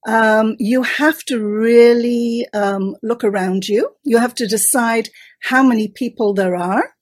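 A woman's voice: fairly high pitch, a little mellow, breathy edge. A woman storytelling expert giving confident, instructive advice in an interview.

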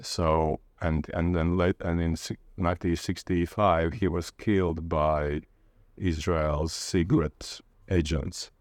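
A man with a Latvian accent, a native Latvian speaker, talking reflectively in an interview and haltingly searching for words. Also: low-pitched, level tone, a little mellow, dry recording. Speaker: interviewee, literature teacher and playwright.

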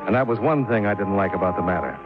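Guy acting a wry 50s radio private eye, lowish voice, clipped n dry, old muffled recording. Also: quick pace, over loud music, lightly acted. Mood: wry, wary. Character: shrewd, wry hard-boiled male private investigator. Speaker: narrator and lead of radio detective drama. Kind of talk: 1950s radio acting, hard-boiled narration.

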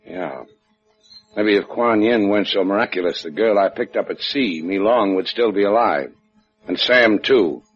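A tough American skipper with a low voice and a laconic, sardonic delivery. It is hard-boiled 1950s radio drama on a dull old recording with music behind it. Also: male voice, normal speed, husky. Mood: wry, guarded. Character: tough, wry American adventurer and boat skipper.

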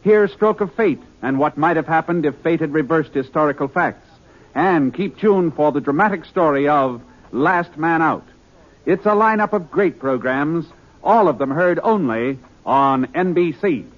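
A man's voice: fairly high pitch, animated, measured pace. An American man announcing a 1950s radio drama in a scripted, dramatic style. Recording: muffled old radio broadcast over music. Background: music.

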